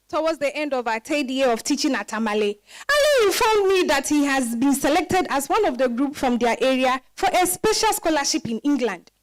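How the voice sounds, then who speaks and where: A woman performing a reflective wife who recounts a disappointing marriage in a dramatized reading, with expressive storytelling emphasis. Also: sits high, rough recording. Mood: fond, nostalgic. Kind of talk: dramatized first-person literary monologue.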